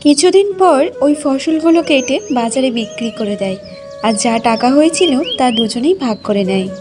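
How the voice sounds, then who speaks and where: A woman's voice: high-pitched, expressive, normal speed. A woman storyteller reading scripted narration for a cartoon folk tale. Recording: music behind the voice.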